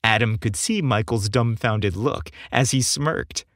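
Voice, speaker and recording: A man's voice: mid-range voice, unhurried, a bit bright, no echo, studio quality. A man narrating a scripted story as an audiobook reader.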